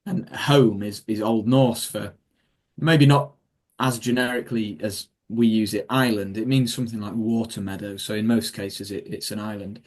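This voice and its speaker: A man's voice: lowish voice, steady tone, moderate pace, darkish tone. A man, a history speaker, answering audience questions in a rambling way with many ums and restarts over a video call. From England. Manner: rambling, self-correcting, with frequent ums and restarts. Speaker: guest speaker on Viking history. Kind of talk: Q&A after a talk.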